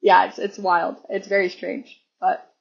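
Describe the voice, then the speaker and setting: A hoarse woman guesting on a podcast, chatting casually and rambling with restarts and laughs. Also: medium pitch, level tone, a little slow. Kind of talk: casual chat. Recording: dull lo-fi recording.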